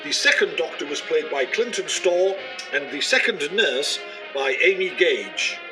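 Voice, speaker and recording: A man plays a formal radio announcer with a slightly high voice. He reads a scripted, tongue-in-cheek sign-off over clear background music. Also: lively intonation, measured pace, low-quality recording.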